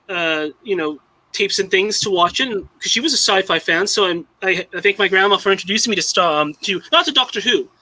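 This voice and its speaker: Bright, high-voiced young man rambling. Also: rough recording. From Southern California. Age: young adult. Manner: rambling, many restarts and fillers. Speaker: live-stream guest. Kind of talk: casual chat.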